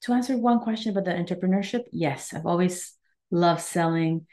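Mellow low-voiced woman from Mexico and Guatemala. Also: brisk. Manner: warm, earnest, searching for words. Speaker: wellness coach, guest. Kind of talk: conversational interview.

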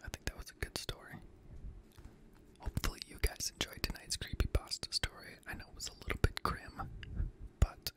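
A young man reading scary stories in a hushed, breathy ASMR whisper, gently, close to a studio microphone. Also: fairly quick, low-quality recording. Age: young adult. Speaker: host and reader of scary stories. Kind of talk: whispered ASMR horror story narration. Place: small indoor home studio room. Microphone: close boom-arm studio microphone.

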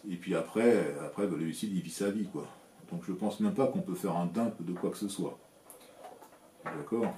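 Low-voiced older Frenchman in an echoey workshop. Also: dark tone, slight background noise. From France. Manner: measured, plain-spoken, many hesitant "euh" fillers. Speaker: electronics hobbyist, channel host. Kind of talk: casual explanatory talk to camera. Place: home workshop with shelves of equipment, indoors. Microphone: camera framing at desk, seated.

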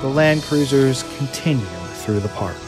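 A male narrator reading scene directions in an even, descriptive manner. His mid-pitched voice sits over clearly audible music. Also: unhurried. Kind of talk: scripted narration of stage directions. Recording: loud music underneath.

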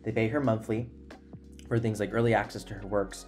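A man's voice: lowish voice, fairly even intonation, fairly quick, darkish tone, soft background music. A young man hosting a podcast in a conversational style, speaking earnestly and emphatically into a desktop microphone. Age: young adult. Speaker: podcast host. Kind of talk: conversational podcast introduction and interviewing. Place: small indoor room at home. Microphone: desktop microphone close up.

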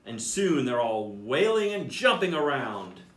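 A man's voice: medium pitch, sing-song, a little slow. A man, around his thirties or forties, a school principal reading a picture book aloud expressively and talking directly to children. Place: small office, indoors. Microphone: camera a few feet away. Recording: roomy echo, distant mic.

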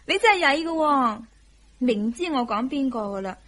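A sweet coy young hk wife, high voice, radio drama. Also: female voice, lively intonation, normal speed, fairly bright tone. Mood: coy, teasing. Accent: Hong Kong Cantonese. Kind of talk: Hong Kong radio drama acting.

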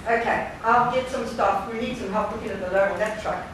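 A woman acting a gentle, soothing shop worker comforting a friend in an amateur stage play. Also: fairly high pitch, fairly quick, far from the mic, noisy background, lightly acted. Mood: helpful. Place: indoor theatre stage before an audience. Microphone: distant camera in the audience. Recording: echoey theatre stage recorded from afar.

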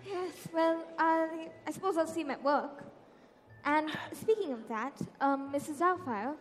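Woman playing a very high-voiced, flustered mother. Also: measured pace, a little hiss or hum. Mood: hesitant. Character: polite, flustered divorced working mother. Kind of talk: stage comedy acting. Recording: echoey hall with music.

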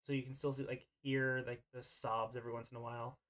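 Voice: medium pitch, flat delivery, fast, fairly bright tone. A game master casually narrating an online roleplay over a voice call, in an informal, hesitant way.

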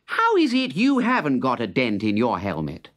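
A storyteller voicing a fussy king in a children's storybook, speaking high and drawn out. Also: wildly swooping pitch, moderate pace, fairly bright tone. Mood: pleased. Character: fussy storybook king, stern then pleased.

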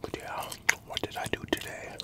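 A man in his thirties, an ASMR creator, whispering a loose, profane eating chat into a desk mic inside a parked pickup truck. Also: moderate pace. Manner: whispering, loose and profane, chewing between remarks. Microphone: large desktop mic in the cab, GoPro camera.

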